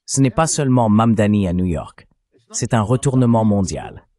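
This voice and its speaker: A man's synthetic dubbing voice reading political commentary in a measured, articulate way. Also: lowish voice, very animated, mellow. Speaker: voice-over translator for a panelist.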